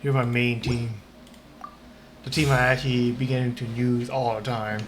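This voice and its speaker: A man's voice: mid-range voice, flat delivery, moderate pace, slight background noise. A man giving casual, rambling gameplay commentary as a streamer, hesitating and repeating his words.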